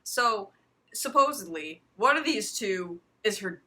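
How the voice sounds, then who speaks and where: A woman's voice: mid-range voice, animated, slow, sharp tone. A woman reading fan fiction aloud for a comedy show, mixing dramatic storytelling with casual asides.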